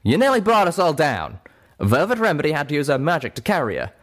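A hot-tempered male pegasus from a storybook , acted out by a man, pitched up and swooping as he talks. He is blunt, scolding and protective. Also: wildly swooping pitch, normal speed. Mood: angry, scolding.

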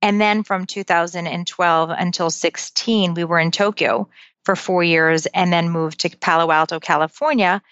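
A woman's voice: fairly low pitch, fairly even intonation, normal speed, fairly bright tone, smooth, no echo. A woman in her forties, a guest author, answering interview questions with methodical, date-by-date storytelling. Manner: methodical, dates-driven storytelling. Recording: dull lo-fi audio.

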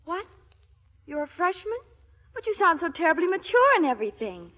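High-voiced gushing teenage girl. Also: big pitch swings, normal speed, bright, forceful tone, heavily acted. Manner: breathlessly affected, gushing. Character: gushing, dramatic teenage daughter. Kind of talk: 1950s radio comedy acting. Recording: old 1950 radio broadcast.

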